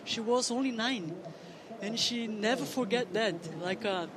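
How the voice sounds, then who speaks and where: Woman, Brazilian Portuguese accent, high voice, halting with fillers, live sports commentary, crowd behind. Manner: halting, many fillers and restarts. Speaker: guest commentator, former pro surfer.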